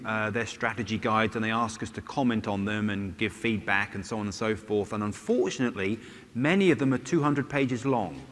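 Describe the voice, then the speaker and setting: Middle-aged male conference speaker, mid-pitch, conversational with wry humor, headset mic, echoey hall. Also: a bit bright. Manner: conversational, with wry asides and rhetorical questions. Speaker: conference presenter. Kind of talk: conference keynote presentation. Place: large conference stage, indoors. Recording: long hall reverb.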